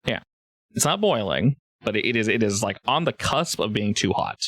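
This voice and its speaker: A male game master narrates a tabletop roleplaying game off the cuff. His pitch moves around in a lively way, and the recording is close and dry. He keeps an informal, joking manner. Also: normal speed, dry recording.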